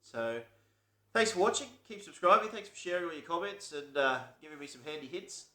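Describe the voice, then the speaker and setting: A man, who has a cold, chats casually through a toy review, relaxed and hesitant with many fillers. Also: a little high, brisk, echoey room.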